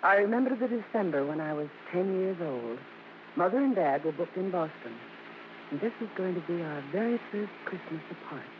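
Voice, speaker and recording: An actress playing a grown daughter who narrates her family's story with measured, wry pauses, in scripted old-time radio drama style. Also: fairly low pitch, lively intonation, natural voice. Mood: wistful reminiscing. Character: grown daughter Mikey recalling her show-business family. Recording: muffled, very noisy old radio recording.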